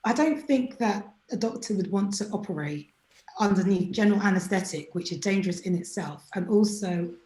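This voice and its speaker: A woman's voice: medium pitch, normal speed, roomy sound, poor audio. A woman as an interview guest, talking candidly and animatedly, sometimes acting out what other people said to her. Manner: chatty, animated, acts out quoted people.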